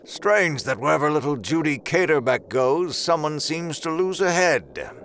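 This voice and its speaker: Man acting a coolly sinister project boss, higher-pitched. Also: lively intonation, fairly bright tone, a little hiss or hum. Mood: cool, ominous. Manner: measured, formal, ominous. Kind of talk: audio drama acting.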